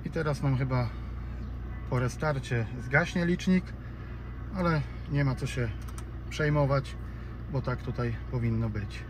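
A man's voice: mid-range voice, a little slow, long pauses. A man with a Polish accent giving a casual step-by-step car tutorial in a conversational, slightly hesitant way. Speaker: host of a car DIY tutorial channel. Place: inside a car. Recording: clear background noise, car ambience.

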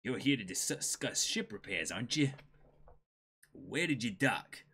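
A man's voice: medium pitch, animated, unhurried, creaky, sharp tone, a little hiss or hum, voice pushed down, full character voice. A man voicing a courteous, matter-of-fact young shipwright who talks like an older man. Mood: polite, businesslike. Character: courteous young shipwright with old-fashioned speech.

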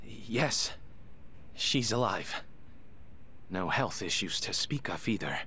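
An actor playing a formal, hesitant middle-aged doctor with secrets in a video game. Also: male voice, fairly low pitch, lively intonation, slow pace, pushed and bright, a little hiss or hum, exaggerated voice. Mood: careful.

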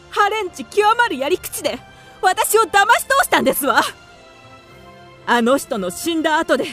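A woman plays a proud widow in a radio drama. Her voice is somewhat high, with refined, formal diction and a haughty, easily offended air. Faint music plays behind her. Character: proud, grieving young widow, haughty and indignant.

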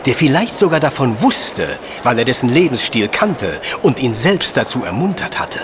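An actor plays a brilliant detective professor in an audio drama. He is a man who explains with precise, superior certainty, his pitch swinging freely at a middle height over background ambience. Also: big pitch swings, normal speed, noisy background, lightly acted. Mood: musing, deducing. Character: brilliant, self-assured professor detective, deducing coolly. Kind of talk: German audio drama acting.

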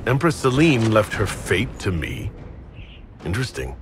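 An actor playing a composed, plain-spoken leader of an inquisition in a fantasy game. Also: male voice, on the low side, sing-song, unhurried, noisy background. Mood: cool, judging. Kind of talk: cinematic fantasy game dialogue.

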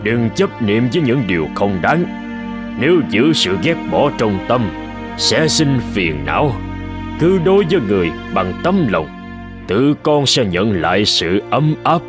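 A man voicing an elderly, wise Buddhist monk in a cartoon folk tale, patient and measured as he teaches. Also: medium pitch, very animated, over music. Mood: calm, instructive. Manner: measured, gently instructive. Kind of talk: animated folk tale dialogue.

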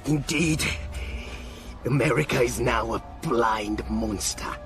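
Game villain: grandiose commander, taunting, slow delivery, music underneath. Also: male voice, medium pitch. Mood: dying, bitter. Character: gloating, theatrical Japanese military villain. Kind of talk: video game cutscene acting.

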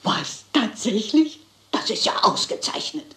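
A woman's voice: on the high side, very animated, a little slow, fairly bright tone, roomy sound. A woman playing a shrewd, composed elderly lady detective, polite and inquisitive, in a German crime drama. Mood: shrewd, businesslike.

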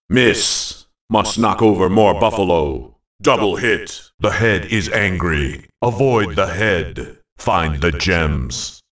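An actor playing a bold, dramatic video game announcer, making theatrical, punchy calls. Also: male voice, low-pitched, a bit bright, echoey room, distant mic, full character voice. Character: booming male arcade-style game announcer, bold and dramatic.

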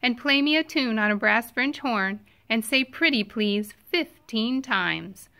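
A woman's voice: on the high side, animated, a little slow, pushed and bright, heavily acted. Woman reading as a stubborn baby moose. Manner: insistent, bossy.

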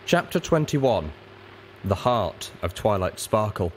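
Male audiobook narrator, deep register, animated and theatrical storytelling. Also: slow, slight background noise. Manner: theatrical, expressive reading. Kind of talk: scripted, dramatic fan fiction narration.

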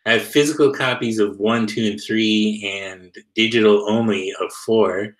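A man's voice: on the low side, fairly even intonation, normal speed, poor audio. A man chatting casually as an interview guest on a video call, in a loose, slangy way peppered with "like". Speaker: interview guest, comic creator. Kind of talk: casual chat.

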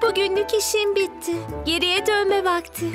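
A sweet little cartoon tram speaks in a very high, childlike voice. She sounds earnest and young, over loud background music. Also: steady tone, moderate pace. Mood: friendly. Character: sweet young female tram, wistful and helpful. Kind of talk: cartoon dub.

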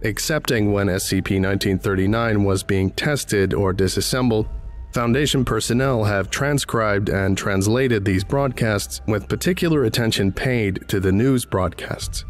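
A man with a fairly low voice narrates from a script. His delivery is formal and measured, with the clinical tone of a documentary report. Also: faint music behind.

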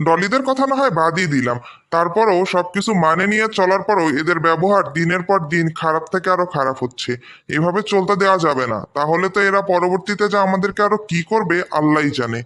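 Man acting defiant high-voiced young Bangladeshi brother. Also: animated, fast talker, a bit bright. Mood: resolute. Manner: argumentative, insistent. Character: defiant, protective young brother standing up for sister. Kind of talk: amateur cartoon story dubbing.

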